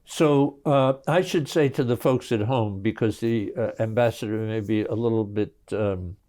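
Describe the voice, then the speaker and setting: A man hosting a talk show, asking a guest courteous, measured questions. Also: fairly low pitch, dark tone. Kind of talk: interviewing a guest.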